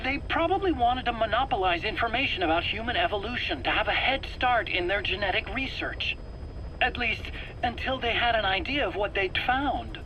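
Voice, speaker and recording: An actor playing a nervous, eager scientist under interrogation in a video game, self-justifying in an earnest, explanatory, pleading way. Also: male voice, sits high, lively intonation, normal speed, bright, forceful tone, poor audio. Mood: eager, lecturing. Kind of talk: dramatic video game voice acting. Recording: faint background noise, cassette tape interrogation.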